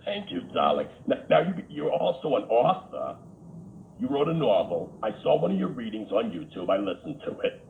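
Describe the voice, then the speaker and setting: A man's voice: medium pitch, sing-song, normal speed, gravelly, a little hiss or hum. A man playing a flamboyant, theatrical male talk-show host with a Boston accent, gushing and calling everyone darling. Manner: gushing, calls everyone darling, camp asides. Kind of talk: comedic character interview. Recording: tinny phone-like line.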